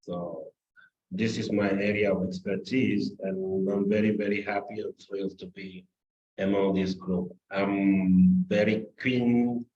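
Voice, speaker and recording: A man talks in a slightly low voice, slow and hesitant, often correcting himself. The sound is a dull video-call feed. It has a roomy echo. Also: little pitch movement, darkish tone. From Cameroon. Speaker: corporate lawyer. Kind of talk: self-introduction on a video call.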